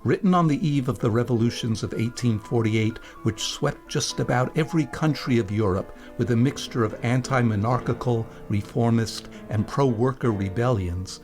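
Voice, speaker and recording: A man reads scripted radio narration at a middling pitch. His delivery is measured and explanatory, like a presenter setting up a reading. Faint music plays behind him. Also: darkish tone.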